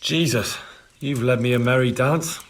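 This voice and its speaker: A man's voice: medium pitch, normal speed, close to an everyday voice. An actor playing a determined young man in a medieval video game, questioning people in an insistent, direct way. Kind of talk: video game cutscene dialogue.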